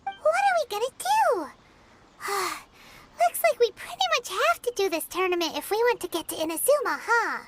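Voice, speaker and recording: A woman voicing a tiny, blunt female fairy companion in a game cutscene, quick to object. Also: very high voice, big pitch swings, very noisy. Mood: resigned. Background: loud game music.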